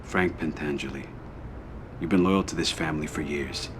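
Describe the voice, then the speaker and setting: An actor playing a cold, controlled crime family boss in a video game cutscene, speaking in a measured, commanding way. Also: male voice, rumbling low, noisy background. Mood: firm, controlled. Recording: loud music behind the dialogue.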